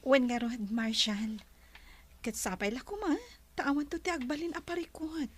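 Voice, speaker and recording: A woman with an Ilocano Filipino accent playing a gentle, wealthy bride-to-be in a radio drama. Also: high-pitched, steady tone, normal speed, lightly acted. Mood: anxious, soft.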